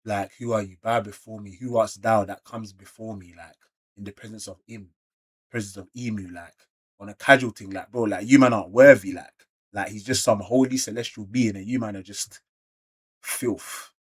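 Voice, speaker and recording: A man with a London British accent doing a casual, slangy manga read-along reaction, moving between animated exclamations and muttered asides. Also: fairly low pitch, moderate pace, husky. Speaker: reaction video creator.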